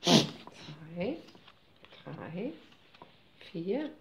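A woman's voice: on the low side, sing-song, extremely slow. A mother playing cards with her child at home, off camera, chatting in a playful, teasing, mock-indignant way. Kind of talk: casual family chat during a card game. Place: home room at a table, off camera. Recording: echoey room, faint noise.

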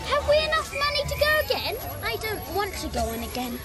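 Very high, animated pitch, excitable schoolchild, exclaiming, audio drama. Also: female voice, brisk, over loud music, a loud crowd, heavily acted. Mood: excited. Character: eager, excitable English schoolchild, one of a club. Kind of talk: children's audio drama acting.

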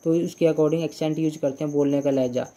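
A young man teaching English grammar in Hindi, going slowly through example sentences, repeating them and often checking "clear?". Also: on the high side, fairly even intonation, dark tone. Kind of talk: Hindi-language grammar lesson.

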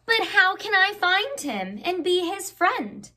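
A woman's voice: very high voice, big pitch swings, slow pace, voice pushed up, heavily acted. A woman voicing an eager, earnest young male beaver in a children's storybook. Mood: curious, concerned. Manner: bright, earnest storybook delivery.

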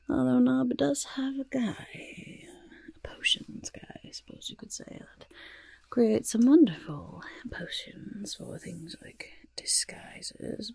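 Woman playing a breathy whispering goblin. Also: fairly low pitch, a little mellow, full character voice. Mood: attentive, reassuring. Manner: speaks of self in third person, repetitive soothing patter. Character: goblin master of disguise, fussy, sly, reassuring helper. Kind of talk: soft-spoken ASMR roleplay. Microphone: close-up ASMR microphone.

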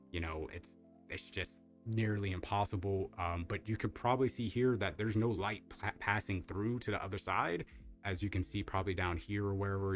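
A young man with a deep voice casually reviewing a microphone, rambling with lots of fillers and restarts, in a home streaming setup. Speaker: tech reviewer.

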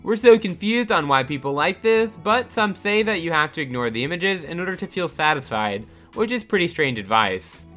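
A man reading a scripted countdown voice-over with upbeat delivery over soft background music. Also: on the high side, animated, moderate pace, few pauses. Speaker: narrator of a countdown channel.

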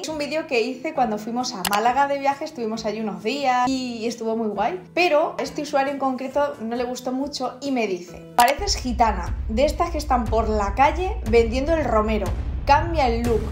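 A woman's voice: a little high, reverberant. A young woman from Spain with a Castilla-La Mancha accent that drops her s sounds, chatting casually to camera as a vlogger and laughing often. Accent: Castilla-La Mancha Spanish, drops s sounds. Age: young adult. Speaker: YouTuber, Spanish teacher. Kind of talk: casual vlog reaction, reading comments aloud. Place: indoor room, wall with photos behind. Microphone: camera at close range.

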